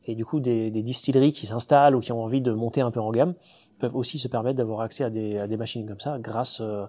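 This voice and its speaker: A man's voice: mid-range voice, fairly even intonation, fast talker, a little mellow. A man, a distiller, talking in a casual interview, explaining with enthusiasm but with many fillers and restarts. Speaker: distiller, guest.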